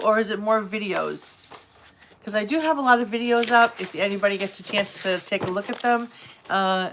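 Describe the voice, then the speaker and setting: A woman's voice: mid-range voice, background noise. A woman with a Boston accent, from south of Boston, chatting casually and rambling a little as she greets viewers on a live stream. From Massachusetts, south of Boston. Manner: chatty, rambling, friendly, laughs easily. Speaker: livestream host.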